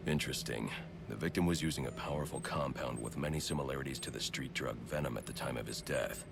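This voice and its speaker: A man's voice: fast, background noise. An actor playing a grim, brooding male vigilante detective in a video game, speaking in terse, clipped lines. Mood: analytical. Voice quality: deep gravelly. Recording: game soundtrack music behind.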